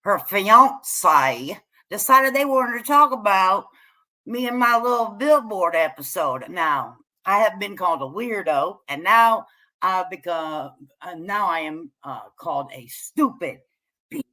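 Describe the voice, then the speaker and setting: A woman's voice: mid-range voice, animated, measured pace, bright, forceful tone. A woman hosting a casual gossip livestream in a sassy, folksy way, with slang and pet names. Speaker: YouTube live stream host.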